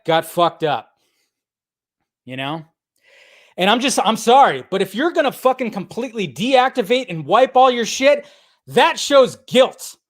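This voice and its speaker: A man with a distinctly high-pitched voice delivers off-the-cuff commentary as a show host. He sounds exasperated and blunt, hammers his points by repeating them, and swears freely. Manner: emphatic repetition of phrases. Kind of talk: unscripted ranting commentary.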